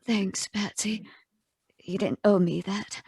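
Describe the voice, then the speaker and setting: An actress playing a defiant, double-crossing femme fatale in a 1940s-style radio noir drama. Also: female voice, mid-range voice, steady tone, takes it slowly, creaky, mellow, breathy edge, no echo, studio quality, full character voice. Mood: weary, fading. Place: home room, live video call performance.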